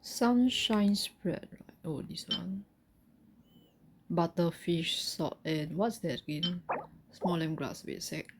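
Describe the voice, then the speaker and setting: A woman's voice: fairly low pitch, slow, noisy background. A woman giving casual gameplay commentary, murmuring along as she reads from the screen. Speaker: game streamer. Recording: game music over the voice.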